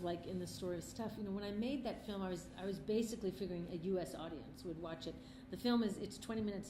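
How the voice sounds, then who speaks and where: A middle-aged woman, a filmmaker, answering interview questions in an earnest, passionate, conversational way. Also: medium pitch. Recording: roomy echo, faint background noise.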